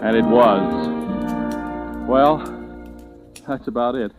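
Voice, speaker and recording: A man's voice: medium pitch, slow, muffled recording, close to an everyday voice. An actor playing a relieved young man narrating his own tale, wry and conversational, in a 1940s radio drama recorded with music.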